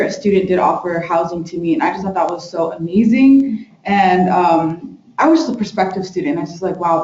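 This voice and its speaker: A woman's voice: lowish voice, dark tone. A young woman from Colorado, a graduate student, casually introducing herself and telling her own story. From Denver, Colorado, US. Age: young adult. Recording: echoey, muffled, faintly noisy room.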